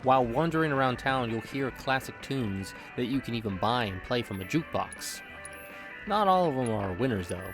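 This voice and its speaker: A man's voice: mid-range voice, lively intonation, normal speed. A man in his thirties narrating a scripted comedic video game review with exaggerated, playful delivery. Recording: background music under the voice. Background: music.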